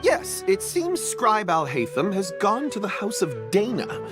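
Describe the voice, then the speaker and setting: A woman's voice: medium pitch, wildly swooping pitch, unhurried. A woman voicing a polite but gossipy academy clerk in a video game. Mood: chatty. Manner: courteous, slightly gossipy. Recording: game audio with music behind.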